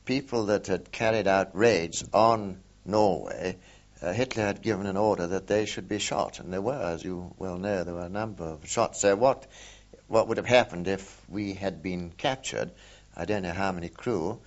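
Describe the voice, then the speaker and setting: An older man, a war veteran, recalling events in an oral history interview, hesitant with many pauses and fillers. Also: low voice. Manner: hesitant, many ums and uhs, measured. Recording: dull lo-fi recording.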